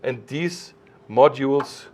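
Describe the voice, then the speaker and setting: A man explaining technical matters informally as a product designer, in a didactic, emphatic way with halting phrasing. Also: medium pitch, darkish tone.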